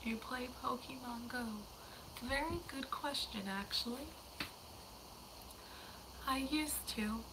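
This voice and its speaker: A young woman hosting a casual livestream, hoarse and losing her voice to a cold, chatting in a rambling way that slips into whispers. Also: high-pitched, slow, pauses often, background noise. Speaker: livestream host.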